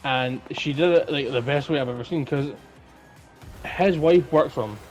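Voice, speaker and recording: A man's voice: medium pitch, moderate pace, faint music behind, low-quality recording. A man with a Scottish accent co-hosting a podcast, telling stories in an informal, rambling way. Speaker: podcast co-host. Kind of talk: casual chat, storytelling.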